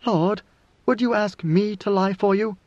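A man's voice: high-pitched, measured pace, darkish tone, no room sound, dull audio, pitched above the performer's own voice. A man voicing a devoted, anxious young woman in a storybook reading, earnest, pleading and deferential.

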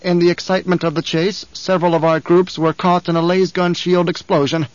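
Brief: a man voicing an edgy, drug-dulled captain who blurts out answers to his master, pitched up high. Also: little pitch movement, no echo, natural voice. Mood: anxious. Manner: eager, hurried, deferential. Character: nervous male guard captain, drug-dulled, flustered. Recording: dull, dry studio recording.

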